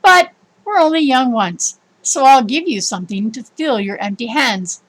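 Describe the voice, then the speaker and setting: Woman reading as a kindly, high-voiced old woman. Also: expressive, moderate pace, low-quality recording.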